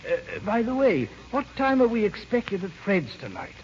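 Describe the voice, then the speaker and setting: Fussy elderly doctor, swooping pitch. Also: male voice, huge pitch swings, moderate pace, heavily acted. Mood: teasing. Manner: testy, chiding, theatrical. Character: elderly English doctor, fussy, skeptical old friend. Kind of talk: 1940s radio acting. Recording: dull, noisy old radio recording.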